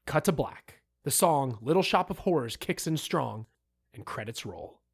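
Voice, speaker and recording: A man's voice: mid-range voice, expressive, unhurried, creaky, slightly rough. A man reading out a screenplay's scene directions as scripted narration.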